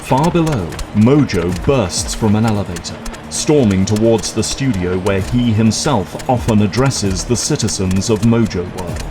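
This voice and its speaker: Low male narrator over music. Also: a little slow, few pauses. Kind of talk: scripted dramatic narration.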